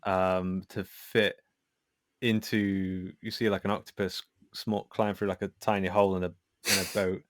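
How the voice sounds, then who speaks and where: A man speaking as a podcast guest in a casual conversation, reflective and candid, often halting as he works out his thoughts. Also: low voice, flat delivery, no echo, clean studio sound.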